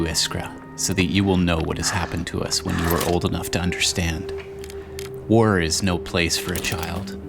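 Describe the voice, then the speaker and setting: A grave, weary father speaks his wartime journal in a low voice, acted by a man for a game dramatization. The delivery is solemn and music plays clearly underneath. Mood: somber, sorrowful. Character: Christo, weary war-torn father writing a journal. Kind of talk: dramatized first-person journal monologue.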